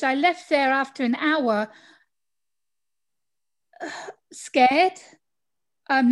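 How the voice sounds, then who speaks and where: A middle-aged woman from the UK with a British accent, telling her story as a guest in a casual interview over a video call, chatty and laughing often. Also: high-pitched. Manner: chatty, laughs often, acts out quoted people. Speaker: podcast guest.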